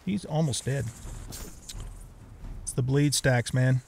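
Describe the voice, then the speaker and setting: A man's voice: medium pitch, fairly even intonation, takes it slowly, gravelly, a little mellow, slight background noise. A middle-aged man giving casual let's play commentary, chatting and thinking aloud as he goes. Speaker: gaming let's play host.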